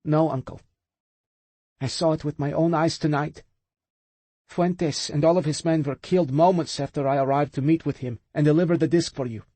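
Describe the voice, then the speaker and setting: A man voicing a calm, proud young woman. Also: fairly high pitch, normal speed, no room sound, pitched above the performer's own voice, natural voice. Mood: earnest.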